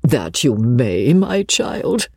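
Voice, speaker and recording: A woman's voice: very deep voice, very animated, extremely slow, darkish tone, slightly rough, dry recording, full character voice. A woman reading a storybook as an imperious, sentimental rich old lady, insistent, nosy and gushing. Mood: sentimental, coaxing.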